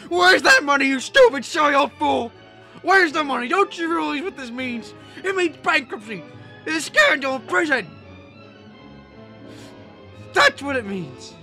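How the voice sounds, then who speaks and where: A man's voice: very high voice, expressive, unhurried, pauses often, bright, forceful tone, faint music behind, full character voice. A man acting in a radio drama as an earnest, kindly but easily frantic small-town businessman, folksy and emphatic, with an American accent. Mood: frantic, furious. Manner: folksy, emphatic, stammering when pressed.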